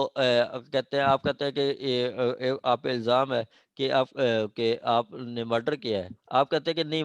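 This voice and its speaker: A Pakistani man teaches a class in an informal, didactic way. His voice sits at a middle pitch and he keeps pausing to check understanding. The sound is dry, with hardly any echo. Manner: didactic, frequent check-in questions to students. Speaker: law lecturer. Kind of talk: informal classroom law lecture mixing Urdu and English legal terms.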